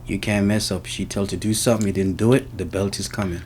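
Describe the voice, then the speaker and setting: A man's voice: lowish voice, steady tone, fast talker, a little mellow, slight background noise. A man with a Jamaican accent giving casual answers in an interview. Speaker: interviewee, senior car technician.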